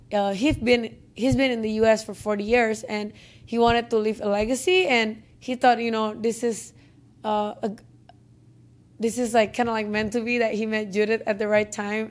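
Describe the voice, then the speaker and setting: A woman's voice: mid-range voice, a little mellow. A young woman with an Indonesian accent, a film director chatting in an interview with many fillers and restarts, on a handheld mic in an office meeting room. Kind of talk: interview answers. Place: office conference room, indoors.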